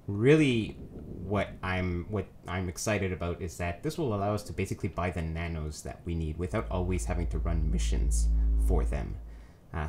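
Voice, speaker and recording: Man with a cold, nasal, low voice, hosting a casual let's play with loose, rambling delivery over a faint noise floor. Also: a little mellow. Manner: rambling, many ums and self-corrections. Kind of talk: casual gameplay commentary.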